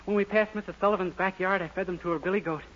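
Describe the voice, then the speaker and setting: A man's voice: high-pitched, fairly even intonation, rapid-fire, fairly bright tone, slight background noise. A man playing an eager, naive young man in a 1940s radio situation comedy. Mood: eager, pleased. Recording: dull lo-fi 1940s radio recording.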